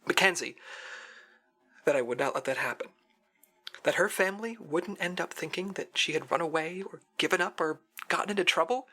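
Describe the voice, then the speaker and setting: An actor playing an earnest secret agent who records a solemn, dramatic farewell message in an audio drama. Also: male voice, a little high, pushed and bright. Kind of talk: audio drama acting, scripted monologue.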